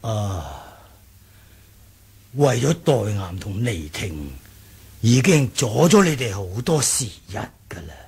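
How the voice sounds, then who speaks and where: Elderly, kindly kung fu patriarch, Hong Kong Cantonese radio drama. Very raspy, unhurried, sighing. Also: male voice, on the low side, sing-song, a little mellow, exaggerated voice. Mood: gentle, curious. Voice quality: husky, gravelly old voice. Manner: slow, sighing. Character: aged, kindly martial arts grandmaster.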